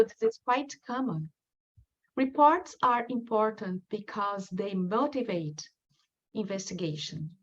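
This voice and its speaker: A woman from Brazil with a strong Brazilian accent, a Portuguese speaker giving a prepared academic lecture in a careful, measured way with frequent ums. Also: fairly high pitch, highs cut off. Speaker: guest lecturer.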